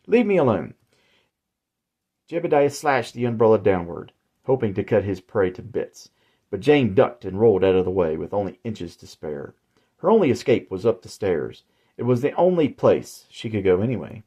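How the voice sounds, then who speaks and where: A man narrating his own horror story in a measured, deliberate way. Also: lowish voice, sing-song, warm tone, slightly rough, lo-fi sound. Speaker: author and narrator.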